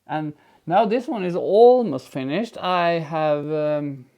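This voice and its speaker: A man's voice: fairly high pitch, slow pace, mellow. A man co-hosting a knitting show, chatting enthusiastically and laughing easily as he explains his work. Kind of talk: casual chat and knitting explanation.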